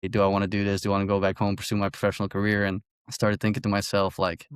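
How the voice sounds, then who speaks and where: A man's voice: lowish voice, completely flat delivery, rapid-fire, clear, resonant tone, no room sound, clean studio sound. A man in his early twenties from Belgium, an athlete telling his story casually as an interview guest. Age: early 20s. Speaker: college golfer, interview guest.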